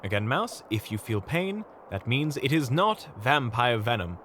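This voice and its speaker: A man's voice: medium pitch, big pitch swings, unhurried, a bit bright, a little hiss or hum. A man acting as a wry, reassuring monster hunter who teases and explains things in an audio roleplay. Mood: calm, instructing.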